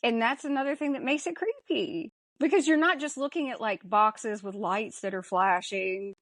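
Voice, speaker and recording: A woman's voice: fairly high pitch, moderate pace, fairly bright tone, no room sound, clean studio sound. A woman co-hosting a podcast in casual chat, with an informal, emphatic way of talking. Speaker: podcast co-host. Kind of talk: casual chat about a sci-fi film.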